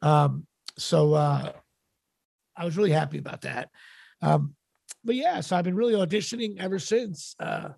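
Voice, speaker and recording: A middle-aged man with a raspy voice, chatting casually as an interview guest in a wry, self-deprecating, halting way. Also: fairly high pitch, darkish tone, dry recording. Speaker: podcast guest, actor and comedian.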